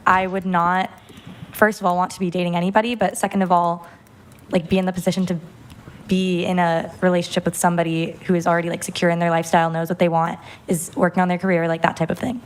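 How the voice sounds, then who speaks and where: Quick earnest eighteen-year-old woman. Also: fairly low pitch, flat delivery. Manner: earnest, measured, frequent "like". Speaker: panel guest. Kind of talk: casual panel talk.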